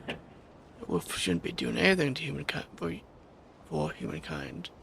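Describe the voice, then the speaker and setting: A woman's voice: deep voice, very animated, measured pace, gravelly, fairly bright tone, slight background noise. A woman giving casual commentary as she plays a game, musing aloud. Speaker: game streamer.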